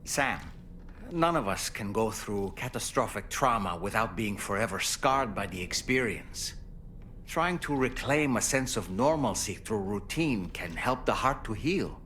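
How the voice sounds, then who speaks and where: A man's voice: lowish voice, animated, a little slow, a bit bright, slight background noise. A man acting as a kindly, thoughtful counsellor in a video game, reassuring and articulate. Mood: consoling.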